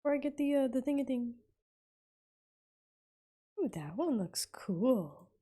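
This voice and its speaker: A woman's voice: high-pitched, expressive, measured pace, warm tone. A young woman giving casual, slangy, offhand commentary while streaming a game. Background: faint game music.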